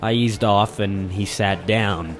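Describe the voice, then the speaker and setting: A man's voice: lowish voice, steady tone, slow pace. A man playing a persistent, wry ex-Marine private eye in an old-style detective radio drama, hardboiled and informal, narrating and talking in character. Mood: brooding. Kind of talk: 1940s detective radio drama, first-person narration and dialogue.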